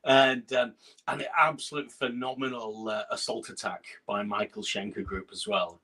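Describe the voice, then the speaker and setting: Man, northern English accent, middle-aged, lowish voice, hesitant, filler-heavy, video call. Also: little pitch movement, moderate pace. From Scotland. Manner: hesitant, lots of fillers and restarts, soft laughs. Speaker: guest musician. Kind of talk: casual interview chat.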